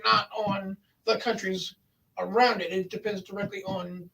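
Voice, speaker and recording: A man with a very high, gravelly voice joins a casual conversation, speaking hesitantly and restarting his sentences. He is heard from a distance off the mic. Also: level tone, measured pace, pushed and bright, a little hiss or hum. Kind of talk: casual chat.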